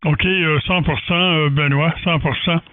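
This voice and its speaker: Halting Quebec man on narrow radio band. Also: on the high side, fairly even intonation, background noise. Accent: Quebec French. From Quebec, Canada. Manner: halting, hesitates often between phrases. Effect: amateur radio transmission. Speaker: amateur radio operator. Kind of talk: ham radio contact, technical casual talk.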